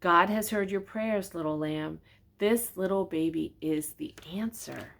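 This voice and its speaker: A woman reader voicing a gentle, serene young mother in a children's storybook, soft-spoken and tender. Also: on the low side, a little slow, gravelly.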